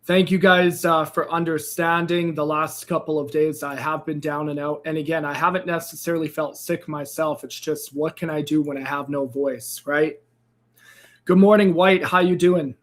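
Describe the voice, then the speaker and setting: A man with a fairly high, slightly raspy voice, still a little hoarse from losing it. He hosts a live trading stream in a loose, upbeat way, greeting viewers by name and filling gaps with "uh" and "um". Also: steady tone, normal speed. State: slightly hoarse, recovering from a lost voice. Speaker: crypto trading livestream host. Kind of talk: casual livestream chat.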